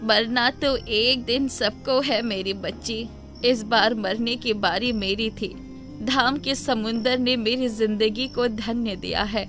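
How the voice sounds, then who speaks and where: A woman voicing a kindly old grandmother, later a ghost, who speaks affectionately and reassuringly in an animated moral story. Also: on the high side, slow, music in the background. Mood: gentle, wistful. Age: older.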